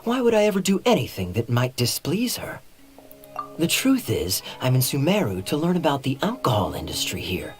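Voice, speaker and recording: A man playing a suave, sly young knight captain in a video game, teasing and evasive, with smooth theatrical irony. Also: medium pitch, huge pitch swings, normal speed. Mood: playful, teasing. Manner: smooth, theatrical, playfully ironic. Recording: soft music behind the voice.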